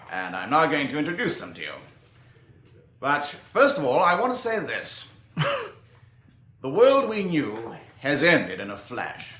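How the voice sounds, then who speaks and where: An actor playing an earnest, resolute committee chairman making a formal, measured address to survivors in a radio drama. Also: on the high side. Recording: roomy, echoing meeting hall.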